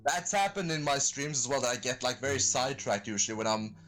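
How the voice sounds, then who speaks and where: A man's voice: medium pitch, animated, fast talker, over music. Rambling man in an easygoing chat. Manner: rambling, hesitant, many fillers. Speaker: podcast guest and streamer. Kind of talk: casual chat.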